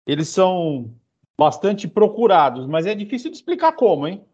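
A man in his fifties with a Brazilian accent and a high-pitched voice. He speaks as a casual interview host. The sound is muffled and lo-fi. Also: sing-song, moderate pace, darkish tone, slightly rough.

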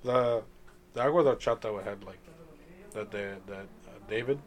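A man chatting casually with friends, animated and profane, with Spanish as his first language. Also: fairly low pitch, normal speed. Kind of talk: casual chat among friends.

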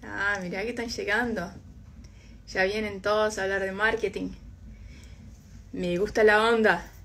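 A woman's voice: mid-range voice, steady tone, a little slow, fairly bright tone, a little hiss or hum. A woman from Brazil with a Uruguayan-Argentine Spanish accent and Portuguese as her first language, hosting a casual live-stream chat. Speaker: host.

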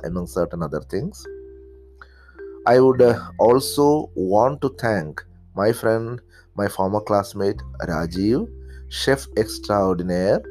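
Malayalam-accented man, low mellow voice. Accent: Malayalam-accented English. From Kerala, India. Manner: earnest, deliberate, thanks friends one by one. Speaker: podcast host. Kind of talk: solo podcast introduction.

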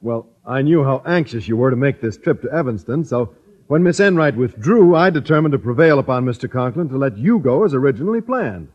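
An actor playing a naive, kindly high school teacher, polite and guileless, in 1950s radio sitcom style. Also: male voice, lively intonation, brisk, darkish tone, natural voice. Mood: eager. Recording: dull vintage radio recording.

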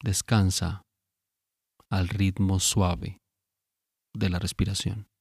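A man narrating a guided sleep meditation in a calm, soothing manner, giving unhurried instructions with long pauses. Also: sits low, husky, no room sound, clean studio sound.

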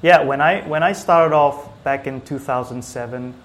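Halting mid-pitched man from Singapore. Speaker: small business owner, IT technician, interview guest. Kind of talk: casual interview chat.

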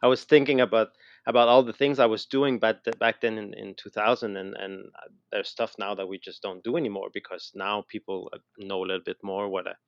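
A middle-aged man with a Quebec French accent tells his story in a casual interview, halting and often repeating words mid-sentence. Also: fairly low pitch, flat delivery, dry recording, lo-fi sound. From Montreal, Canada. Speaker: interview guest, educator and designer.

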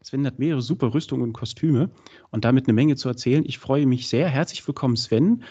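A man hosting a podcast in casual chat, with a cold, chatty and filling pauses. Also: mid-range voice, dark tone, breathy edge. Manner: chatty, many fillers. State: has a cold. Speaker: podcast host. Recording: dull lo-fi sound.

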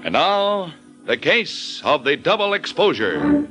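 A man announcing in booming, emphatic old-time radio style, his pitch swinging lively up and down. He sells with theatrical flair and then sets the scene as narrator. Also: slow pace, loud music behind the voice. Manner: booming, emphatic sales delivery.

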